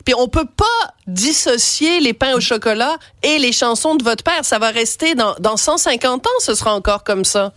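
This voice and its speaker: A woman's voice: a little high, expressive, moderate pace, a bit bright, dry recording. A woman radio host with a Quebec French accent, chatty and playful, with a cold, interviewing a guest in the studio. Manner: chatty, playful, laughs often. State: has a cold.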